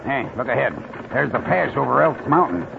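Gravelly, surly western villain. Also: male voice, medium pitch, sing-song, moderate pace. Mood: scheming. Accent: American Western. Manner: gruff, impatient, threatening. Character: surly, cheating western villain, a hard-bitten wagon boss. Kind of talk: old-time radio western acting. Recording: noisy, muffled old radio recording.

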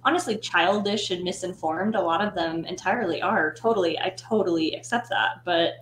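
A young woman speaks reflectively as a guest in a casual chat, her phrasing loose and full of fillers. Her voice sits on the low side, and the room adds a clear echo along with a faint hum. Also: a little fast, a bit bright. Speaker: guest, content creator.

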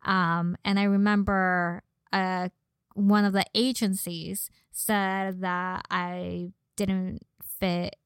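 Smooth young Valley girl woman. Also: medium pitch, flat delivery, takes it slowly, no echo, studio quality. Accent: slight Valley girl Californian. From Los Angeles, born in Mexico. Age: young adult. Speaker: podcast guest, model and personal trainer. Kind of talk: casual conversational interview.

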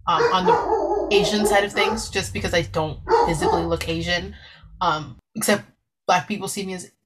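A young woman co-hosting a casual podcast, chatty and animated, often laughing as she talks. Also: medium pitch, low-quality recording. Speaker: podcast co-host.

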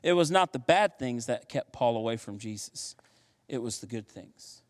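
A man preaching a sermon as pastor, lively and playful, with slangy asides. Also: medium pitch, normal speed, in a large hall.

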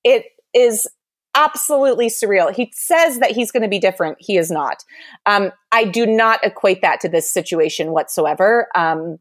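A woman's voice: medium pitch, lively intonation, moderate pace, no echo, studio quality. A young adult woman telling a candid, exasperated dating story with lots of "like" in a casual chat.

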